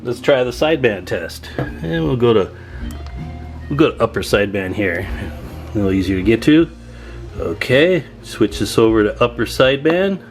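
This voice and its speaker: A man's voice: medium pitch, very animated, normal speed, soft background music. A man hosting a hobby video, talking through a hands-on demonstration in an informal, chatty, slangy way. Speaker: host of a CB radio repair video.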